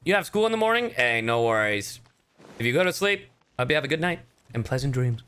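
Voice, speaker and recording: A man's voice: mid-range voice, big pitch swings, brisk, pushed and bright, clear, resonant tone. A young man livestreaming with casual game commentary, chatty, joking and profane.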